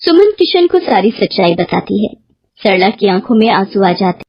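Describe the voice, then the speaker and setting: A woman's voice: medium pitch, very animated, moderate pace, husky, dry recording. A woman storyteller reading scripted story narration on a slightly muffled recording.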